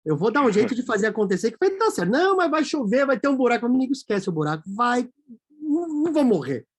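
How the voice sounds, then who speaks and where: A man from Brazil, a company CEO, talking as a guest in a casual interview, animated and acting out quoted voices. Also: extremely high pitch, fast.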